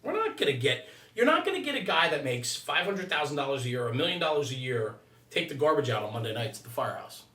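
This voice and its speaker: A man's voice: on the high side, sing-song, fast, pushed and bright. A man explaining his opinions conversationally, emphatically punctuating his points with "right?". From United States. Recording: roomy echo, distant from mic.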